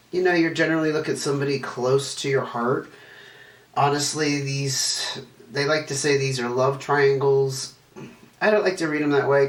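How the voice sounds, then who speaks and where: A man with a stuffy, nasal voice from a cold, chatting casually through a tarot card reading and wandering as he talks. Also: mid-range voice. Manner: meandering, chatty, self-correcting. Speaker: tarot reader. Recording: echoey room, distant mic, faint noise.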